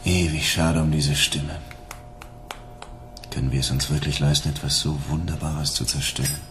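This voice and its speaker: A man playing a brooding, conscience-troubled android hunter in a German radio drama, grave and measured. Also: extremely low pitch, little pitch movement, a bit bright. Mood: regretful. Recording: clear background ambience noise.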